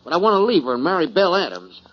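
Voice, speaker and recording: An actor playing a surly, hot-tempered rodeo cowboy with an American Western accent in 1940s radio drama. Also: male voice, high-pitched, big pitch swings, rapid-fire. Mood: indignant. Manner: folksy grammar, combative. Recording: muffled lo-fi 1940s radio broadcast.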